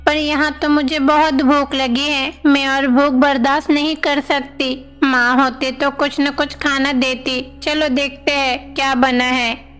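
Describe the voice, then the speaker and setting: A woman's voice: high voice, little pitch movement, measured pace, a bit bright, soft background music. A woman voicing an innocent, eager young divine boy in devotional storybook dialogue. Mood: eager, hungry. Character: young divine brothers, eager hungry little boys.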